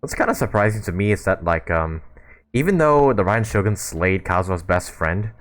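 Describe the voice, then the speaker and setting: A man's voice: low-pitched, animated, moderate pace. A man from Canada giving informal, slangy let's play commentary as he muses over a game's story. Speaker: gaming video host.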